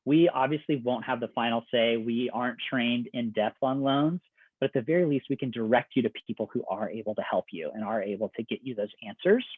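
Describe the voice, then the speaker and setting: A man working as an admissions counselor, giving a conversational, step-by-step presentation with everyday examples. His voice is getting a little raspy. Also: mid-range voice, brisk, no room sound. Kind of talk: informational presentation. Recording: dry, phone-like video call audio.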